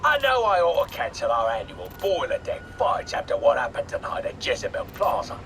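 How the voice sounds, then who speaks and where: A man's voice: medium pitch, wildly swooping pitch, fairly quick, slight background noise. An actor playing a cocky, blustering gangster boss, talking like a showman. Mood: boastful. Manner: brash, boastful announcer. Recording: distant voice over loud music.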